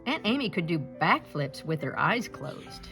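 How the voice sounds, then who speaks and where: Female picture-book reader, expressive and deliberate, with a lively pitch range over background music. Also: creaky. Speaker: storybook reader. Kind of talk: scripted children's picture-book read-aloud.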